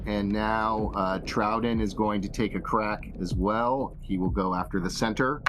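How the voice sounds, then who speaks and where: A man's voice: lowish voice, steady tone, unhurried, noisy background. A man narrating a tabletop role-playing game as game master, casual and free with swearing. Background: music.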